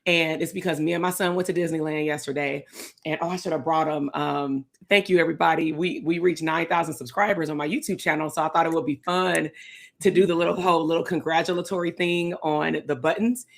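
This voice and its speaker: A woman, hosting a live stream chat with a hoarse, raspy voice, talking casually to viewers. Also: deep voice, fairly quick. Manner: relaxed, talks straight to viewers as y'all. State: hoarse, losing her voice. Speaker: psychologist and host.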